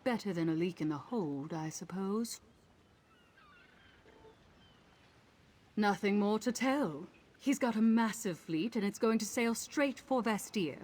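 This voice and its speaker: A woman playing a proud, vengeful mercenary pirate captain in a video game, measured and sardonic. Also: mid-range voice, expressive, darkish tone, close to an everyday voice. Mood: wry, rueful. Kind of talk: video game character acting.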